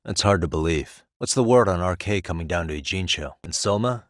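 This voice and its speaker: A man's voice: deep voice, expressive, fairly quick, a bit bright, no room sound. A man with an American accent voicing an easygoing, principled detective in a video game, talking in a dry, wry way as he reasons things through. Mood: casual, wry. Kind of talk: video game voice acting, conversational dialogue.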